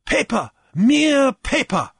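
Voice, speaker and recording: Story objector voiced by a male narrator: flustered yet stubborn, halting delivery, high-pitched and slow. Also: big pitch swings, fairly bright tone, husky, no room sound, clean studio sound, heavily acted. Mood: protesting. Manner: halting, spluttering, slow and insistent. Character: flustered, halting, dogged man challenging the chancellor.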